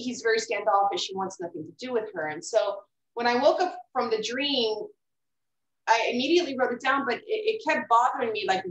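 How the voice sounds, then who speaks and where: A woman's voice: mid-range voice, fairly bright tone, echoey room, low-quality recording. A woman author who talks about her writing in a casual interview, hesitant and full of fillers and repeated words. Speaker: guest author.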